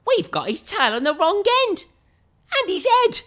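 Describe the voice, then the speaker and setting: Woman as an eager, high little skeleton. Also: very animated, unhurried, heavily acted. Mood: puzzled. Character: little skeleton, eager childlike playful sidekick.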